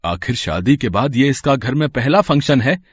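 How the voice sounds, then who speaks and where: Husky older patriarch, played by a man, dry sound. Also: medium pitch, animated, normal speed, no room sound. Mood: firm. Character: stern but kindly older father-in-law, family patriarch. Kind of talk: Hindi cartoon family melodrama acting.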